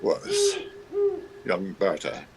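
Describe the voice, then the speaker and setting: A pompous, slow-speaking gentleman father. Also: sits low, huge pitch swings, a crowd in the background, exaggerated voice. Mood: flustered, melancholy. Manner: pompous, hesitant with uhs. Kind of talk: radio drama acting.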